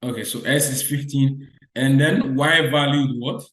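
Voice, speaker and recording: Un hombre imparte una clase en línea con voz de tono medio, grabado en una sala con eco. Repite las preguntas y pide respuestas breves a los estudiantes. Explica paso a paso, volviendo sobre cada idea. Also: male voice, medium pitch, steady tone, unhurried, a bit bright, roomy sound, rough recording. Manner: repetitive call-and-response questioning. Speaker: lecturer. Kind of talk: online biostatistics lecture.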